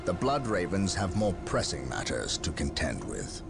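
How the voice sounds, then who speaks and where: An actor playing a stern, brooding male space marine captain who speaks in a grave, measured, commanding way. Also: low voice, huge pitch swings, vocal fry, loud music behind the voice, heavily acted. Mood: resolute. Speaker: soldier. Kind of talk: video game cutscene acting.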